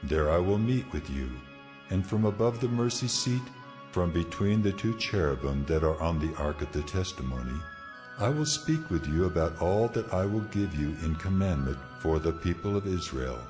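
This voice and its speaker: A man's voice: low voice, lively intonation. An actor voicing God as a solemn, majestic, commanding male divine presence in a dramatized scripture reading. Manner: solemn, deliberate, authoritative. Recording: long hall reverb, music behind.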